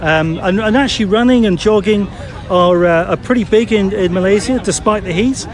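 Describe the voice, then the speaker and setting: A man's voice: high voice, moderate pace. A man from the UK with a British accent, answering questions in a friendly, hesitant way and often repeating words, recorded outdoors in a park. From United Kingdom. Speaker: run director. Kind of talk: casual interview. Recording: outdoor recording with lots of voices nearby.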